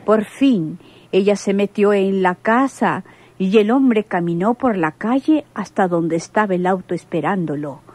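Earnest, husky-voiced female witness. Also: mid-range voice, measured pace, darkish tone, no echo. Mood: earnest, indignant. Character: earnest townswoman giving witness testimony. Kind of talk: radionovela acting.

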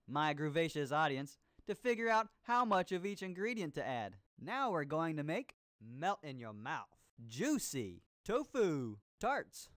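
An actor voicing an exuberant, jive-talking male disco cooking show host in an educational video game, with showy drawn-out exclamations. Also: a little high, fairly bright tone, no echo, clean studio sound. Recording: disco music behind the voice.

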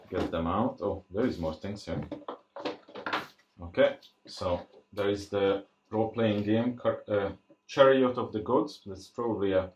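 A man giving casual, unscripted unboxing commentary, thinking aloud in a halting way as he presents. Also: fairly low pitch, a little mellow, slight background noise. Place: indoors at a tabletop. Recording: roomy, echoey sound.

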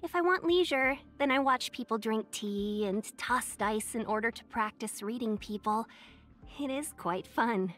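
Young fox girl merchant from a video game, highish voice, sweet n polite, smooth talker, music behind. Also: measured pace, fairly bright tone. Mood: chatty, courteous. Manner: polite, coy, playfully persuasive. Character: young fox-eared merchant woman, silver-tongued and sweetly courteous. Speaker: game character voice. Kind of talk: scripted video game character voice lines.

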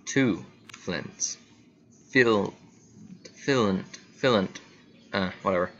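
Slow-talking man gaming, noisy recording. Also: lowish voice, fairly bright tone, highs cut off. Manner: rambling, talks to viewers and himself. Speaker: gamer commentating own gameplay. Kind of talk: casual gameplay commentary. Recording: clearly noisy amateur recording.

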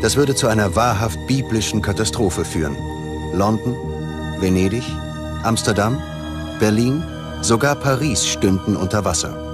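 A man's voice: on the low side, normal speed. A man narrating a scripted documentary in a dramatic, ominous manner. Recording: loud music behind the voice. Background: music.

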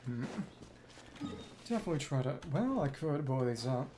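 A man's voice: mid-range voice, sing-song, normal speed, background noise. A man streaming a video game, giving casual live commentary in short fragments, muttered to himself.

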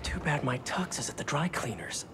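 A wisecracking young hero for a video game, earnest and friendly. The delivery is very lively, with music clearly behind it. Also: male voice, a little high, moderate pace. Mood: upbeat, wry. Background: game soundtrack.

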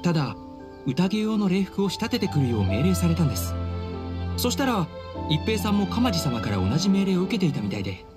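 A man's voice: sits high, very animated, brisk. A man voicing a humble, dutiful young samurai officer in a Japanese anime game, speaking formally and deferentially. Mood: confiding. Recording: studio voice over game music. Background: game music.

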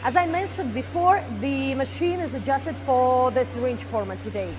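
A woman's voice: sits high, normal speed, background noise. A woman in her forties on a lapel mic, explaining machinery on a factory floor with frequent fillers and restarts. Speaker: company presenter. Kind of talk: guided equipment tour. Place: large indoor factory production floor.

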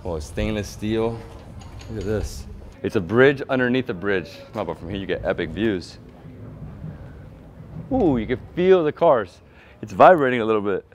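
A man's voice: on the low side, normal speed, pauses often, a little hiss or hum. Man, 30s, travel vlog host, lapel mic outdoors, talkative and upbeat, switches languages. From United States. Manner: chatty, excited, switches between languages. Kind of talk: casual travel vlog chat. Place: outdoors on a bridge and city streets.

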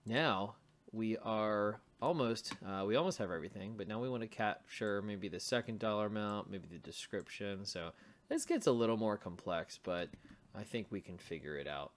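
A man's voice: lowish voice, expressive, moderate pace. A man giving a casual screencast coding walkthrough as a tutorial host, thinking aloud as he types. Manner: thinking aloud while typing. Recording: typing and mouse clicks, faint noise. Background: computer keyboard typing and clicks.

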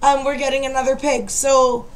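A high-voiced young woman talks to her webcam from a dim bedroom at night, her voice worn as she loses it. She rambles chattily and keeps apologizing. A faint hiss sits under the recording. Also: flat delivery, normal speed, poor audio. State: losing her voice. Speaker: vlogger, makeup seller. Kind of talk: casual chat to camera.

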